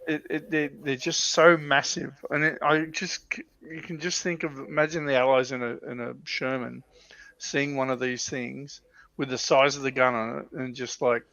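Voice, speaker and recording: A man's voice: mid-range voice, little pitch movement, normal speed, creaky, pushed and bright, no room sound. Slightly muffled man in casual enthusiast chat. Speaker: livestream panellist. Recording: slightly dull, dry lo-fi audio.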